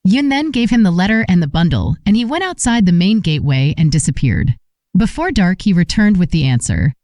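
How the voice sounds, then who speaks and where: A woman's voice: fairly low pitch, very animated, fairly quick, dark tone, dry recording. A woman reading a scripted folk tale as an audiobook narrator.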